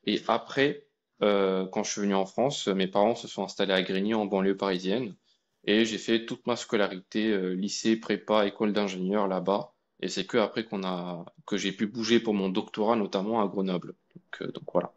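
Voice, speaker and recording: Relaxed young man, low voice. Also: moderate pace, highs cut off. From India. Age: young adult. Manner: relaxed, colloquial, many fillers and restarts. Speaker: guest, AI researcher. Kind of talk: casual conversation.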